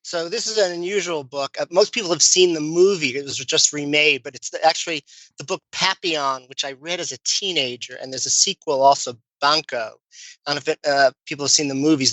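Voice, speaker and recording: A man in his fifties with a Brooklyn accent, a guest physician speaking in a measured, thoughtful way on a podcast. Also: fairly high pitch, bright, forceful tone, no echo, low-quality recording. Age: 50s. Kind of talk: podcast interview.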